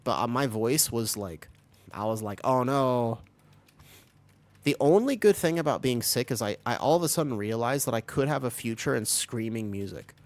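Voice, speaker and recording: Raspy-voiced man streaming gameplay. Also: mid-range voice, lively intonation, moderate pace, darkish tone. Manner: chatty, explains tactics while playing, many fillers. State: recovering from being sick, raspy voice. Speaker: streamer playing a tank. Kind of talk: live gameplay commentary.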